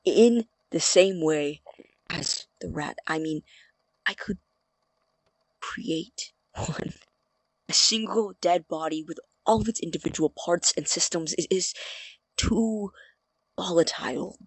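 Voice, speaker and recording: An actor playing a nervous, defensive male college student scientist in an audio drama, with a halting, earnest delivery and a slightly husky, breathy voice. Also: mid-range voice, dry recording, low-quality recording. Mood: nervous, confessing.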